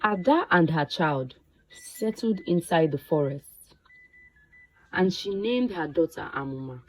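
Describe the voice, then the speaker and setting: A woman narrating a scripted folktale in a measured, deliberate way, phrase by phrase. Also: fairly low pitch, expressive, a little mellow, rough recording. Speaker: storyteller.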